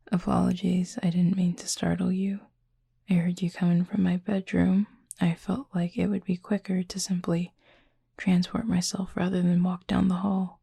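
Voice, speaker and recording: A woman acts out a courteous, softly curious cupid in an intimate audio roleplay. The voice is husky and breathy, steeped in gravelly creak, with a mellow tone. The phrasing is formal, measured and sincere. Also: lowish voice, little pitch movement, no room sound, studio quality, full character voice. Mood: apologetic, calm. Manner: polite, formal, measured, earnest. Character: gentle, formal, curious supernatural cupid roommate.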